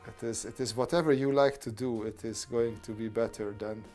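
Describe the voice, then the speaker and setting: A man's voice: medium pitch, fairly even intonation, moderate pace, mellow, soft background music. A man hosting a casual art livestream, chatting with viewers and talking them through drawing steps. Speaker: artist streamer answering chat.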